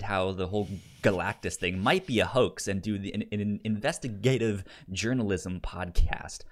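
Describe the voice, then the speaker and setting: Low-voiced man with a stutter. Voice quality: stutter, repeating sounds and syllables. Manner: halting delivery with stuck words. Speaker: podcast host. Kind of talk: casual podcast chat.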